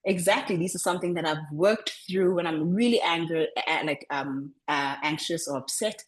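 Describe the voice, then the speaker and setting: A woman coaching public speaking over a video call, talking in a deliberately lowered register and often repeating words as she restarts. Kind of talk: online coaching session.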